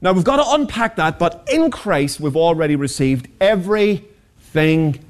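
A man's voice: fairly high pitch, lively intonation, measured pace. A man, preaching a sermon as pastor, passionate and rhetorical with humorous asides. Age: 40s.

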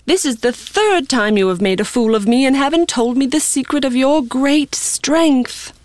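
A woman's voice: medium pitch, sing-song, slow, breathy edge, heavily acted. A woman playing Delilah, a scheming, nagging biblical temptress, with theatrical insistence in a radio drama. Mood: reproachful, wheedling.

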